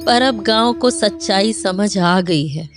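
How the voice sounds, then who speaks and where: A woman voicing a wise old village grandmother in a cartoon, measured and quietly firm as she counsels. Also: mid-range voice, music in the background, close to an everyday voice. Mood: firm wisdom.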